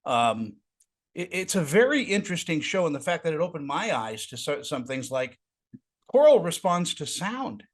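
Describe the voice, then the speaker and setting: Excitable man hosting an interview. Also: on the high side. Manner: excitable, halting, many fillers and restarts. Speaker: interview host. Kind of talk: casual interview chat.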